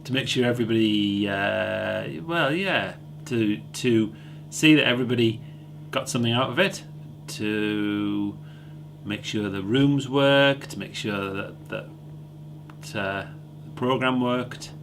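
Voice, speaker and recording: A man's voice: on the low side, slow, vocal fry, a bit bright, a little hiss or hum. A man with a Scottish accent giving a loose, rambling interview answer and repeating himself. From Glasgow, Scotland.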